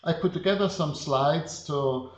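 A middle-aged man from Bavaria with a German accent, giving a slide lecture on a video call at an unhurried pace with many filler pauses. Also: a little high. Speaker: design professor. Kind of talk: webinar lecture presenting slides. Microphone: computer mic on a video call. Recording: echoey, dull webinar audio.

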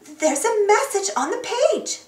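A woman's voice: very high voice, big pitch swings, measured pace, roomy sound, far from the mic, voice pushed up, heavily acted. A woman voicing a confident, eager little girl adventurer in a children's storybook, calling out emphatically.

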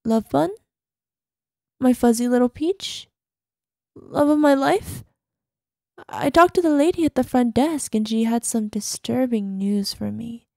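A woman's voice: on the high side, unhurried, long pauses, mellow, no echo, clean studio sound. A woman playing an obsessive, possessive girlfriend in an ASMR roleplay voicemail, sweetly menacing, dropping into whispers. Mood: sweetly suspicious. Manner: breathy asides, drops into whispers, syrupy pet names.

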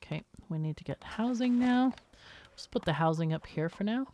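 A woman's voice: medium pitch, lively intonation, normal speed, dark tone. A woman hosting a gaming video, casually thinking aloud and explaining as she plays. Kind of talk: casual gameplay commentary.

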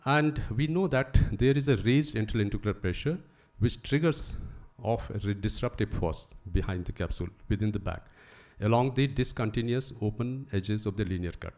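A middle-aged man with a fairly low voice presents at a conference podium. He explains step by step and pauses often with "uh" as he goes through his slides. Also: darkish tone. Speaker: eye surgeon giving a conference talk. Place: conference hall, standing at a podium. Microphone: podium microphone.

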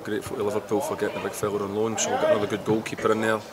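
A middle-aged man, a football manager giving a post-match interview outdoors, halting and repeating words as he thinks. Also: on the low side, monotone, over a noisy crowd. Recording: loud outdoor background noise.

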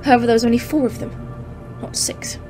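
A woman's voice: on the high side, fairly even intonation, quick pace, a little mellow, natural voice. A woman playing a grave, awed professor in an audio play, speaking formally and intensely as a literary horror narrator. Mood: grave, haunted. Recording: music under the voice. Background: dramatic music.

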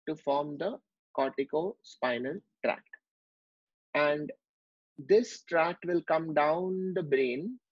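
A man's voice: a little high, no room sound. A man teaching a medical lecture over a drawn whiteboard, explaining step by step with many fillers. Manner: explanatory, with frequent fillers and restarts. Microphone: online class recording. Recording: dull lo-fi dry sound.

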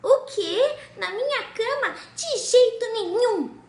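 Woman putting on a squeaky whiny princess. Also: expressive, slow, heavily acted. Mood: squeamish. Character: young whiny spoiled princess girl. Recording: echoey room.

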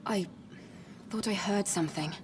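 Game heroine, played by a woman, a young warrior with a middle-register voice, calm and stoic, sparing and understated with words. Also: mid-range voice, moderate pace, background noise, natural voice. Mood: composed. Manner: terse, cool, understated. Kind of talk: restrained video game voice acting.

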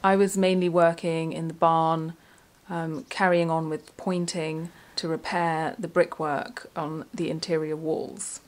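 A woman narrating a quiet slow-living vlog in an unhurried, plain-spoken way. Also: low-pitched, level tone. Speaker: vlogger. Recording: background noise, at times roomy and distant.

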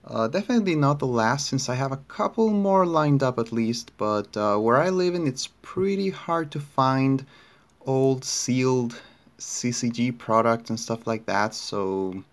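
Young Chilean man, Spanish accent, unhurried hesitant unboxing narration, middle pitch. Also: vocal fry. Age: young adult. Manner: unhurried, hesitant, many fillers and restarts. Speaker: card collector opening packs. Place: indoors at a table.

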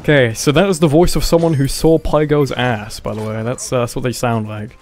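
A man's voice: mid-range voice, fairly even intonation, brisk, over music. A man hosting a casual gaming livestream, talking in a hesitant, mumbly way with lots of swearing. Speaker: livestream host. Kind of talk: casual gaming stream chat.

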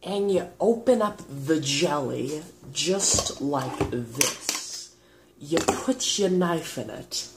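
A teenage boy presents a homemade cooking show in a casual, goofy, slangy style. His young male voice moves at a slow, unhurried pace as he explains each step. He is picked up by a camera on the kitchen counter, with clear background noise. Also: on the high side, lively intonation. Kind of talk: casual vlog presenting, demonstrating steps. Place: home kitchen, indoors. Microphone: camera on the counter, about a metre away.

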